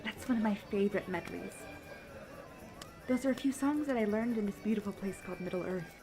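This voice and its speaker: A woman playing a cheerful, polite young travelling bard who chats brightly and directly to the listener in a fantasy audio roleplay. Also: medium pitch, a little fast, over music, lightly acted. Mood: bright, eager. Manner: chatty, bubbly, polite.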